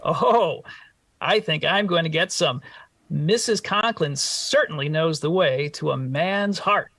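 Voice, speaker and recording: A man's voice: fairly high pitch, slow, a bit bright, dry recording, far from the mic, heavily acted. An actor playing a naive, polite, bashful male teacher in a vintage radio comedy, speaking hesitantly. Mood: mild, agreeable.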